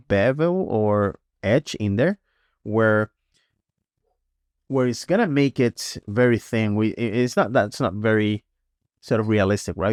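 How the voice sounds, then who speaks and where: A man teaching a digital painting paintover, sounding congested and a little hoarse, talking casually with frequent "right?" checks and small restarts. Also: fairly low pitch, darkish tone, no echo, clean studio sound. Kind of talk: tutorial voice-over.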